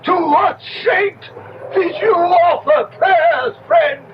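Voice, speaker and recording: An actor playing a boastful, imperious demon dwarf in a theatrical, declaiming 1970s radio drama style. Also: male voice, very high voice, takes it slowly. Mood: gleeful boasting. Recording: muffled, very noisy old radio broadcast.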